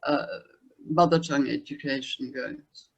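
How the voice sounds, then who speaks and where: A man with a South African accent speaking formally and haltingly in a panel discussion. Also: medium pitch, gravelly, breathy edge, lo-fi sound.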